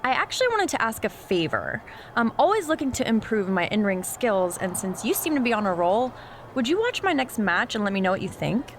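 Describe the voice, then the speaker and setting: A self-assured, friendly female wrestler for a video game. Her voice is a little high and moves around in a lively, conversational way, over faint background noise. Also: a little fast. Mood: friendly, wry. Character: confident, friendly female pro wrestler asking a favor. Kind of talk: video game cutscene acting.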